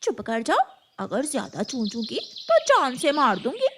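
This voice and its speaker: A woman's voice: sits high, wildly swooping pitch, normal speed, fairly bright tone, a little hiss or hum, exaggerated voice. A woman voicing a scheming, menacing female crow in a cartoon, bossy and threatening.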